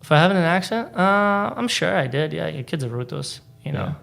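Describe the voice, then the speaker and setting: A man's voice: a little high, very animated, normal speed, gravelly, a bit bright. A young man from Boston, a Polish native speaker, telling stories in casual chat with lots of fillers and restarts. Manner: rambling storytelling with many fillers and restarts. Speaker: stand-up comedian guest.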